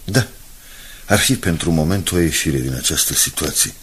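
An actor playing a grave, loyal newspaper colleague in a radio drama, speaking in a measured, sombre way with a husky voice. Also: male voice, a bass voice, lively intonation, fairly bright tone, exaggerated voice. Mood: sombre, concerned. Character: grave, loyal, sober newspaper colleague bearing bad news. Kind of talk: Romanian radio drama acting.

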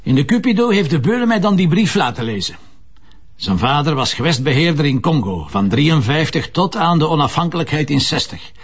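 An actor playing a headstrong, defensive police commissioner in a crime drama, with a Flemish accent and a colloquial, insistent way of talking. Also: male voice, on the high side, animated, fairly quick, slightly rough, highs cut off. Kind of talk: naturalistic TV crime drama dialogue.

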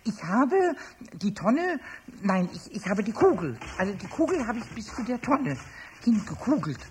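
A man voicing a cheeky, childlike little kobold in a children's radio play, squeaky and raspy, stammering eagerly and repeating words. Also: very animated, normal speed, a little hiss or hum. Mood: defensive, fibbing.

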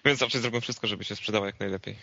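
A man's voice: on the low side, fairly even intonation, very fast, vocal fry, pushed and bright, a little hiss or hum. A man debating casually and insistently as a podcast panelist. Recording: dull lo-fi voice recording.

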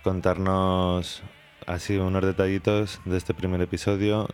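A man hosting a radio interview, relaxed and giving brief reactions. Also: low-pitched, a little slow, soft background music. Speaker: radio host. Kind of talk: interview talk.

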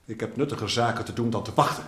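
A man's voice: mid-range voice, rapid-fire, a bit bright, close to an everyday voice. A man acting a haughty, impatient militia captain in a radio drama, speaking in a pompous, curt way. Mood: indignant, pompous. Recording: roomy radio play sound.

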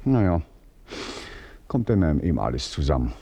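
An actor playing a novelist husband in a radio play, fussy and animated, then soothing his wife. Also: rumbling low, normal speed, husky, heavily acted. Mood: fretful.

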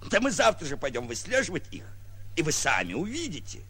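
A pompous smooth-talking prince, old radio drama, lively highish voice, hums and haws grandly. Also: male voice, a little fast, heavily acted. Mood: grand, assured. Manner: pompous, with hesitant drawled fillers. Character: suave smooth-talking self-styled prince, grandly gallant companion. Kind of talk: 1940s stage-style radio acting. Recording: muffled vintage 1940s radio recording.

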